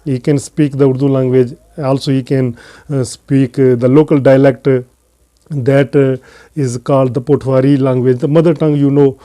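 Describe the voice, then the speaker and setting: A man with a Pakistani accent hosting an English-learning channel, talking casually to camera and pausing often on "uh" fillers. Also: mid-range voice, flat delivery, warm tone. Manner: hesitant, frequent "uh" fillers between phrases. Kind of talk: casual unscripted talk to camera.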